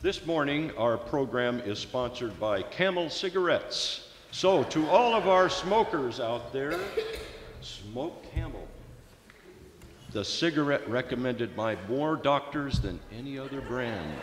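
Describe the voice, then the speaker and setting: An older man hosting a church service in the style of an old-time radio announcer, speaking in a measured, declamatory way from a lectern. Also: mid-range voice, animated, crowd noise. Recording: echoey church hall with background noise.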